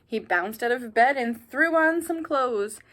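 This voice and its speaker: A woman reading a children's picture book aloud like a teacher, animated, with asides and questions to young listeners. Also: a little high, unhurried.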